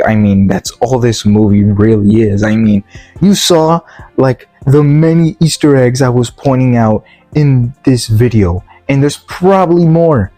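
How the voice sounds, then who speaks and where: A young man gives a blunt, rambling review, stumbling over fillers and restarts. His voice is mellow and sounds tired and worn. He is recorded close and dry, with faint music underneath. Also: mid-range voice, dry recording. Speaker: video game movie reviewer. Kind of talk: casual spoken review.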